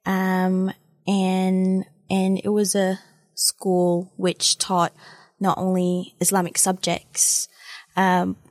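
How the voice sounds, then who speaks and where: A woman's voice: on the low side, monotone, extremely slow. A woman in her twenties from Malaysia, answering interview questions casually and hesitantly, with many ums and uhs. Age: 20s. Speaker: interview guest.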